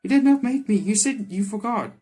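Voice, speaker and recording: A man's voice: high-pitched, a little fast, dark tone, voice pushed up. A man voicing a naive, literal-minded candy golem girl in a video game, with stiff, formal phrasing and music behind. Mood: indignant, eager. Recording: background music under the voice.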